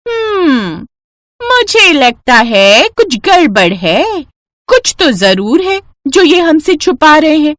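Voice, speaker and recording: A woman's voice: high-pitched, sing-song, slow, a bit bright, no echo, clean studio sound, pitched above the performer's own voice, heavily acted. A woman voicing a sly scheming aunt. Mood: suspicious. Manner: suspicious, sly, feigns innocence. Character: scheming greedy young aunt by marriage. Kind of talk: cartoon voice acting.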